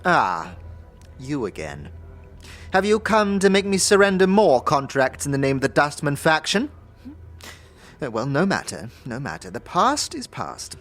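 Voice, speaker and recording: A man playing a nervous, fussy, wheedling clerk of a death cult in a fantasy audio drama, with a husky voice and stammering, formal, obsequious speech. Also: fairly high pitch, huge pitch swings, unhurried, a bit bright, soft background music, heavily acted. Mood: weary, fussy.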